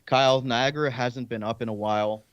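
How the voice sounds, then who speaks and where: A man's voice: medium pitch, steady tone, a little fast, smooth, no room sound. A man hosting casual live sports draft coverage over slightly lo-fi audio. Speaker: sports podcast host.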